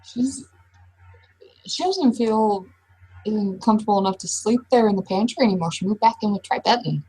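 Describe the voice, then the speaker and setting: Woman, husky voice, middle pitch, informal, halting, improvised role-play, game master. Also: expressive, dark tone, poor audio.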